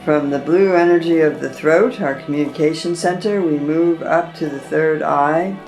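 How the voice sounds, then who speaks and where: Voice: medium pitch, a little mellow, soft background music, low-quality recording. A meditation guide leading a chakra session, drawing out the breathing cues. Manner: slow, drawn-out breathing cues.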